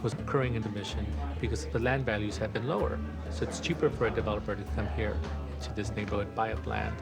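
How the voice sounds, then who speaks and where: A man speaks in a low, flat voice and explains things in a measured, analytical way. Loud music plays underneath him throughout. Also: gravelly. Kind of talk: documentary interview.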